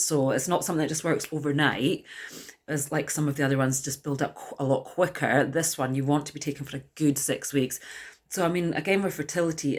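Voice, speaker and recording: A woman in her forties with a naturally deep, low voice. She chats straight to her viewers in a loose, rambling vlog manner, restarting sentences and filling gaps with "um" and "you know". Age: 40s. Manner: chatty and rambling, with many fillers and restarts. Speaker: wellness vlogger. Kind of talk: casual talk to camera.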